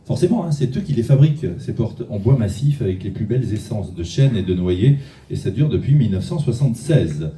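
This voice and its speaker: A man working the stage as host of an awards ceremony, with a deep, dark and mellow voice. He talks loosely and jokingly, often starting a phrase again. The sound is roomy and distant, with faint music behind him. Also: lively intonation, a little fast. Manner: chatty, loose and jokey, with frequent restarts. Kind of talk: live stage hosting. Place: large event hall. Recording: echoey hall, mic at a distance, faint music.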